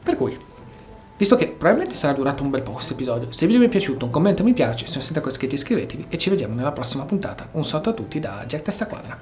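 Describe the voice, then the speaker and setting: Animated Italian man commenting on a game. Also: a little high, racing. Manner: playful, reacting aloud. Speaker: gameplay video host. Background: game music.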